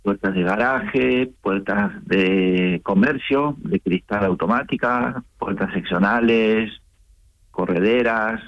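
A man from Argentina with an Argentine accent, phoning in to a radio show and calmly explaining his small business. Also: on the low side, slow pace, dull audio. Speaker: caller, small business owner. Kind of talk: casual phone chat on radio.

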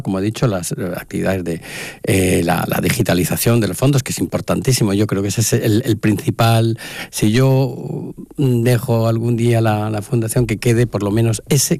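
Gravelly deep-voiced man, hesitant. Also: mellow, dry recording, clean studio sound. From Spain. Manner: hesitant, many fillers and restarts. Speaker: guest, foundation director. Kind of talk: radio interview.

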